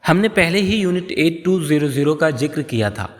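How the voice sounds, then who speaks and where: A man's voice: a little high, expressive, moderate pace. Man narrating an explainer with long reverb. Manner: explanatory storytelling. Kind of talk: scripted narration, news explainer.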